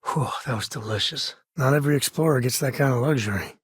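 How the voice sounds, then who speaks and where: A man playing a rugged frontier space explorer in a video game, a wry, plain-spoken former ranger with a folksy way of talking and a husky voice. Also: mid-range voice, quick pace, no room sound, clean studio sound. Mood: warm, relaxed. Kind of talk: game character dialogue.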